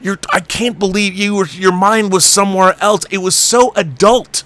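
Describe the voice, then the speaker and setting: A man in his fifties with a bright, forceful voice set a little high. He talks casually about movies like an enthusiastic talk show host. His delivery is emphatic and excitable, with casual profanity. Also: moderate pace, dry recording. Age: 50s. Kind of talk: casual film chat.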